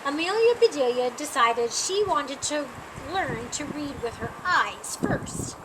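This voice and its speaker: A woman reading a children's picture book aloud in an expressive, emphatic storytime style, drawing out key words. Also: high voice, slow, background noise. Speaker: storytime reader.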